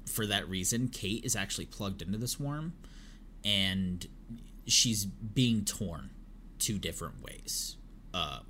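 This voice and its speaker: A man's voice: deep voice, sharp tone, a little hiss or hum. A man chatting as a podcast co-host, retelling at length with lots of ums and uhs. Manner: rambling retelling with many fillers. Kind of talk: casual chat.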